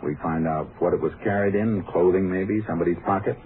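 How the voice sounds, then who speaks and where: Deep-voiced, terse Texas Ranger played by a man. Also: brisk, background noise, natural voice. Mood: cool, probing. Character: terse, shrewd male Texas Ranger detective. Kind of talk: 1950s radio drama acting, narration and dialogue. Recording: muffled 1950s radio recording, music.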